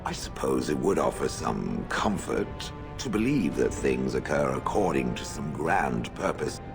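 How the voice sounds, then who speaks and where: An actor playing an ancient, dry and haughty stone golem in a fantasy game, gravelly and stiffly formal. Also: male voice, rumbling low, very animated, unhurried, exaggerated voice. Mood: grudging, reflective. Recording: game music behind voice.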